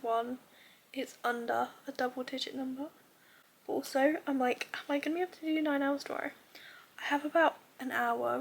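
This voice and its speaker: A woman's voice: high-pitched, gravelly. A young woman chatting casually in a reading vlog, stuffy with a cold and rambling as she thinks aloud. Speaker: book vlogger.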